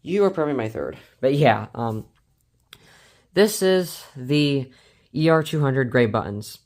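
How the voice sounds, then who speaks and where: A man's voice: mid-range voice, animated, unhurried, darkish tone. A man casually showing a collection in an unscripted vlog, talking in an informal, slangy, rambling and somewhat hesitant way. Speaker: hobbyist collector presenting a collection video.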